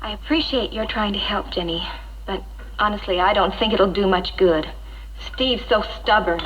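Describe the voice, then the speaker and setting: A woman's voice: medium pitch, moderate pace, fairly bright tone, close to an everyday voice. An actress playing a hurt, despondent young wife and new mother in a 1960s educational film, speaking in a plaintive, doubtful way. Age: young adult. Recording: dull, noisy 1960s film soundtrack.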